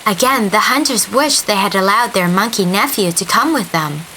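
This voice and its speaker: A woman storyteller reading a scripted children's tale expressively, with deliberate dramatic emphasis. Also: medium pitch, lively intonation, sharp tone, a little hiss or hum, low-quality recording.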